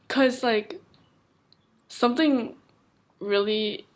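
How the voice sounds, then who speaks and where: A woman's voice: on the high side, very slow. Easygoing woman from Taiwan. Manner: easygoing, brief backchannel replies. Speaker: podcast host. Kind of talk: casual chat.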